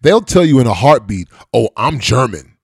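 A man's voice: medium pitch, big pitch swings, moderate pace, gravelly, breathy edge, no room sound, clean studio sound. A middle-aged man hosting a podcast in casual chat, easygoing and rambling, with many fillers and restarts. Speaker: podcast host.

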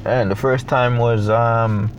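A young adult man from Jamaica with a Jamaican accent, talking in a reflective, halting way with many fillers as a guest in a casual interview. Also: mid-range voice, level tone, muffled recording, a little hiss or hum.

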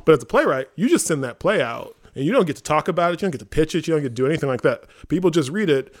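A man's voice: fairly high pitch, animated, rapid-fire. A man with an American accent chatting on a podcast as a theatre artistic director, in an informal, self-deprecating, rambling way. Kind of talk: casual conversational podcast talk.

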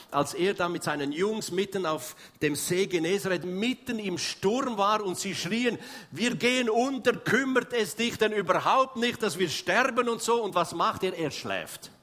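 A man's voice: sits high, sharp tone. A man preaching a sermon, telling stories and acting out prayers and quotes with a rising voice, asking the audience rhetorical questions.

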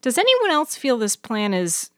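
A woman voicing a blunt, doubtful young boy in an audio story, loud and questioning. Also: fairly high pitch, wildly swooping pitch, moderate pace, no room sound, clean studio sound, voice pushed up.

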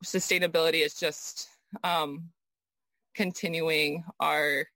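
A woman's voice: low voice, level tone, slow pace, bright, forceful tone, no echo. An American woman from Southern California answering interview questions as an artist guest, conversational and often searching for her words. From United States, mainly Southern California. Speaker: interview guest, designer and sculptor.

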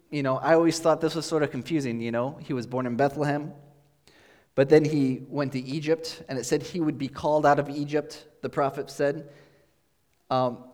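A pastor giving a relaxed, conversational sermon, explaining as he goes with thinking-aloud pauses, recorded in a church sanctuary. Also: a little high. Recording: long hall reverb.

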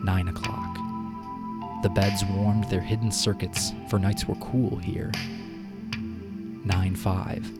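A man narrating a scripted short story as audio drama, with deliberate, dramatic phrasing. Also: deep voice, flat delivery. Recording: prominent music behind the narration.

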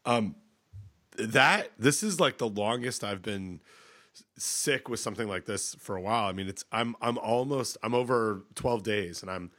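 A man's voice: fairly low pitch, normal speed, a bit bright. A man in his thirties co-hosting a podcast, stuffed up from a cold, rambling with lots of restarts. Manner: rambling, hesitant, many restarts. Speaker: podcast co-host. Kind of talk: casual chat.

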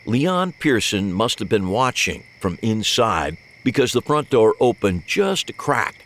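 A man's voice: lowish voice, big pitch swings, measured pace, fairly bright tone. A man reading scripted first-person mystery narration with wry, dry humor.

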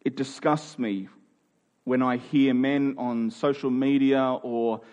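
A man's voice: medium pitch, fairly even intonation, slow pace, dull audio. Earnest preacher in his forties. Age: 40s. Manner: earnest, emphatic preaching with sudden drops to a quiet voice. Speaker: pastor. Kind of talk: sermon.

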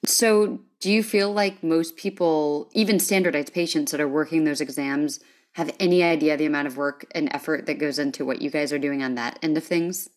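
A woman's voice: low-pitched, fairly even intonation, brisk. A woman hosting a podcast in a conversational interview style, with an informal, chatty manner. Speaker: podcast host.

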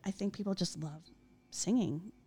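A woman host speaks in an encouraging, supportive way to her guest. Her pitch sits in a middle range. Faint music plays underneath. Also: moderate pace, dark tone, husky. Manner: encouraging, short backchannels. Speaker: podcast host. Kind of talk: interview and podcast outro.

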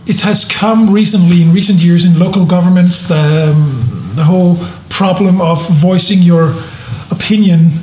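A man from Denmark with a notably high voice, chatting casually and stumbling through frequent restarts and repeated words. His voice bounces around a roomy, echoing space. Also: moderate pace, few pauses, darkish tone. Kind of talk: casual podcast conversation. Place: room in southern Germany.

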